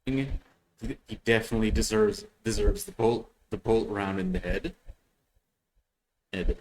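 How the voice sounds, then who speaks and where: Guy reacting casually, slow and halting but pitch jumps around, bit of background noise. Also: very animated, creaky, darkish tone, poor audio. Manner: halting, repeats words as he thinks. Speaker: reaction video host. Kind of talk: casual unscripted reaction commentary.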